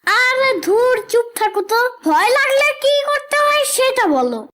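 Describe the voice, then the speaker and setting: A tiny, cheeky cartoon child, very high and bright. The voice swings around a lot, with a whiny, stubborn, impatient edge. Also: very animated, normal speed, exaggerated voice. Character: small cheeky excitable child, easily frightened but stubborn. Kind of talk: cartoon dialogue.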